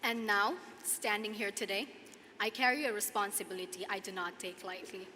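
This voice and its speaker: Woman, 20s, Nepali accent, student commencement speaker; forceful bright tone, earnest measured phrasing, big reverberant hall. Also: mid-range voice, steady tone. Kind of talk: prepared commencement speech. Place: large hall at a podium, audience ambience. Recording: echoey hall PA, clear background noise.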